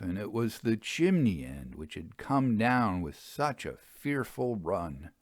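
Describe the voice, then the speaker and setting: Unhurried, expressive male story reader. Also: on the low side, no room sound, clean studio sound. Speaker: audiobook reader. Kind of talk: scripted narration of a first-person Victorian railway ghost story.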